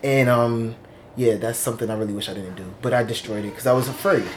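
A man's voice: mid-range voice, fast, slight background noise. A man in his thirties from Long Island, speaking candidly and reflectively as an oral history interviewee, recorded in an office. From Long Island, New York. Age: 30s. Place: office indoors.

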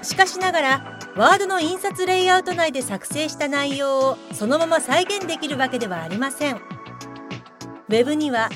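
A woman playing the formal, deadpan narrator of a comedy radio drama, explaining things in scripted style. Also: high voice, moderate pace, music in the background, natural voice. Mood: matter-of-fact.

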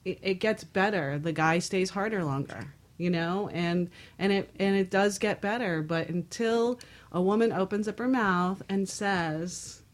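A woman's voice: medium pitch, a little slow. A woman talking candidly on a panel show, blunt and outspoken as she speaks in conversation.

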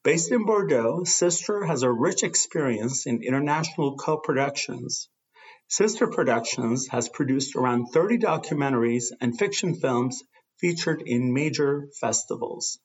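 A male radio host in a measured, scripted read, his voice at a middling pitch for a man. He introduces guests and pledge drives at an even pace. The audio is a little dull and lo-fi, as if the top end has been shaved off. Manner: measured, reads from a script with some fillers.

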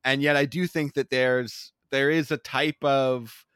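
A man co-hosting a casual talk who hunts for his words, restarting and repeating phrases. His voice is mid-pitched and comes across dry and close, with no room sound. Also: steady tone, unhurried, fairly bright tone, clean tone, no room sound. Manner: hesitant, searching for words, repeats himself. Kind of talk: casual discussion.

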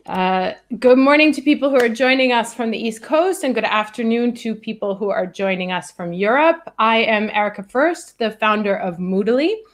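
A woman hosting a live online conversation from home, measured and friendly, with frequent fillers. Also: a little high. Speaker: host. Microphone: video call.

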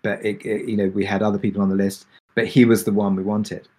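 A man answering questions on a discussion panel as a drama creator, speaking reflectively and haltingly with many pauses. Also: on the low side, flat delivery, warm tone, breathy edge. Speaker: audio drama creator and producer.

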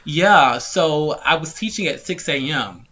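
Upbeat chatty guy on a podcast, bright punchy voice, kinda muffled audio. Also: a little high, lively intonation, measured pace. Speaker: podcast co-host, yoga teacher. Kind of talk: casual podcast chat.

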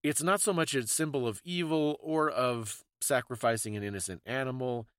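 A man's voice: mid-range voice, normal speed, fairly bright tone, clean tone, no echo, clean studio sound. A man hosting a conversational talk, explaining things in an informative, scholarly way. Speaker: podcast host explaining folklore.

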